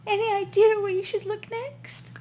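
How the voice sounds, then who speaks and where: A woman's voice: very high-pitched, fairly even intonation, normal speed, warm tone. An actress playing a bereaved, polite and hesitant woman client in a noir radio play. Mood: sad, grateful.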